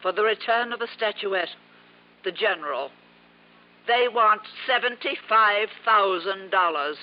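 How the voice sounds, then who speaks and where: A woman's voice: mid-range voice, lively intonation, a little slow, natural voice. A woman playing an imperious, possessive older aunt in a 1950s radio drama, haughty and theatrical in manner. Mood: shaken. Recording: dull, noisy vintage radio recording.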